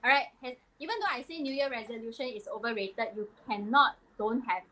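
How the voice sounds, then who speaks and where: A woman's voice: a little high, brisk, sharp tone, slight background noise. A Singaporean woman hosting a live stream, chatting casually and coaching in a loose, friendly way.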